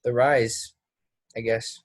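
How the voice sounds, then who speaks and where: A man's voice: medium pitch, little pitch movement, takes it slowly, no room sound, clean studio sound, close to an everyday voice. A man playing a brash, resentful young actor in a play reading, speaking in a blunt, casual, clipped way. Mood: subdued, reflective. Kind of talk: play readthrough acting.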